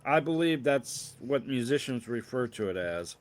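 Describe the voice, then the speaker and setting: A man's voice: mid-range voice, animated, normal speed, close to an everyday voice. Male reader as young associate pastor: storybook character, formal, polished, a little pompous. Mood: explaining.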